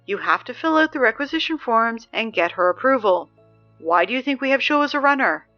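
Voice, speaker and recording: A woman's voice: a little high, animated, a little fast, muffled recording, soft background music, lightly acted. An actress playing a teenage girl who reads school announcements over the speakers, dry and exasperated. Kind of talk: audio drama reading school announcements.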